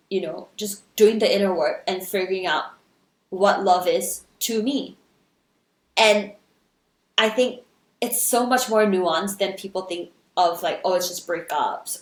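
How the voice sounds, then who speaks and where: A young woman from Singapore, a singer and content creator, talking as a podcast guest in a reflective, bubbly way with lots of "like" and "right?". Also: fairly low pitch. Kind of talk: casual podcast conversation. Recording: roomy echo.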